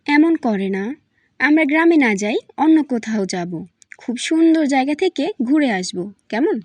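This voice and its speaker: A woman playing a caring cartoon mother with a high, soothing voice that gently coaxes. The recording is dry and close, with no room sound. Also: lively intonation, moderate pace, no room sound. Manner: soothing, coaxing. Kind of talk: cartoon voice acting.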